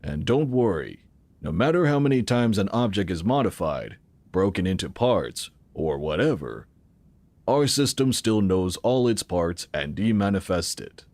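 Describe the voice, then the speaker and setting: Low-voiced, expressive male sci-fi founder. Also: no echo, heavily acted. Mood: explanatory, assured. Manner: explanatory, measured. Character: calm, assured male founder of a futuristic tech organization. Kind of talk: scripted audio drama acting.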